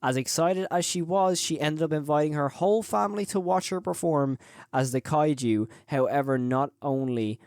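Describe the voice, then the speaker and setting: A man's voice: a little high, normal speed, a little mellow, clear, resonant tone, no room sound, studio quality. A man presenting a scripted video essay as the host of a horror review channel.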